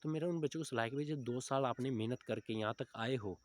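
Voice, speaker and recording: A man's voice: mid-range voice, fast talker. A man of about thirty, giving informal motivational advice to students, often adding check-in tags as he goes. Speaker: exam coaching teacher giving advice to recruitment candidates.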